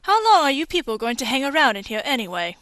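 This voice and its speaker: Snappish, contemptuous woman scientist, played by an actress for a game dub; forceful, swooping delivery. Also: high-pitched, very animated, fast talker, dry recording, clean studio sound. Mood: irritated. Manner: curt, snappish, sneering. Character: hostile, scornful woman scientist guarding her lab. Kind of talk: video game fandub acting.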